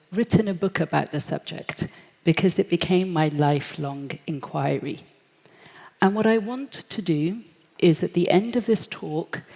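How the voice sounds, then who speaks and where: A middle-aged woman with a British accent speaks slowly and deliberately, telling her story to an audience. Her voice has a gravelly edge. The sound carries a long reverb from a large hall. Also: sits low, darkish tone. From Britain. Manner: measured, reflective storytelling. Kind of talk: stage talk. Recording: echoey hall.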